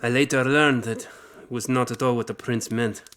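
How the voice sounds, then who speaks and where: An actor playing a weathered knight who recounts his childhood in formal, measured phrases, in audio drama. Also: mid-range voice, fairly even intonation, fairly bright tone, clear, resonant tone, close to an everyday voice. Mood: reflective.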